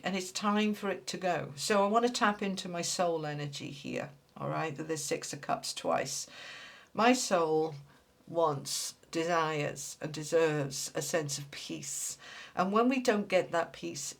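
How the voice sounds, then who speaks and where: Congested woman reading tarot, low voice. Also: fairly bright tone. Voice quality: slightly nasal. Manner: reassuring, tags lines with "all right?". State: congested with a cold. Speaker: tarot reader.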